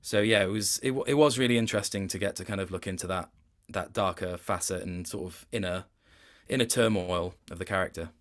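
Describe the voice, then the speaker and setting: A man's voice: sits low, fairly quick, fairly bright tone. A man speaking casually as an interviewed voice actor, thoughtful and hesitant, often circling back to rephrase what he is saying.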